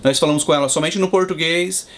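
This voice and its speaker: A Brazilian man in his thirties, a father, answering interview questions in a measured, explanatory way. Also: on the high side, fairly bright tone. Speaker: guest, Brazilian father in Boston.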